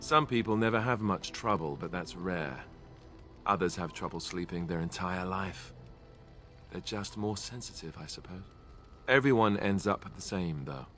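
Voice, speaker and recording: Young male game warrior, earnest and wry, lowish voice, chatty delivery, music underneath. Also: moderate pace, a bit bright. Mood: somber, reflective. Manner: wry, conversational, self-deprecating. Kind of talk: video game dialogue acting. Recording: music behind the voice.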